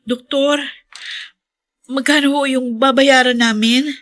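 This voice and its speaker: A woman's voice: high voice, fairly even intonation, slow pace, a bit bright. A woman playing an anxious, deferential Filipino wife in a radio drama, pleading on behalf of her husband. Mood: grateful, humble. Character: distraught, pleading, grateful wife of an injured man.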